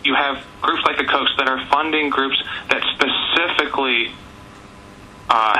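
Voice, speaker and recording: A man's voice: medium pitch, pushed and bright. A man arguing insistently and choppily as a panelist answering a question on a press teleconference. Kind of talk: argumentative press call answer. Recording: noisy telephone conference line.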